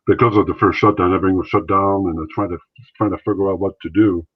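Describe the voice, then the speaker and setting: Man, very low voice, tinny call audio, rambling casual talk. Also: fairly even intonation, fast talker, no room sound. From Maritimes, Canada. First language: French. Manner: rambling, many restarts and fillers. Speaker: interview guest, former wrestler and actor. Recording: thin phone-like line, dry.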